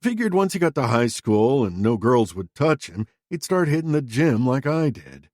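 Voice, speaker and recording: A man's voice: medium pitch, very animated, normal speed, darkish tone, dry recording, studio quality, close to an everyday voice. A man voicing a crude, defensive middle-aged father who talks in a blunt, profane, self-justifying way. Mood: gruff, candid.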